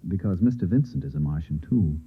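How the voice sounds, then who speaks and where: Husky, insistent 1950s radio man. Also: deep voice, lively intonation, fast talker, no room sound, heavily acted. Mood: earnest, insistent. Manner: emphatic, matter-of-fact. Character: earnest, insistent man bearing an unbelievable warning. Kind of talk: 1950s radio drama acting. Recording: dull, narrow vintage radio recording.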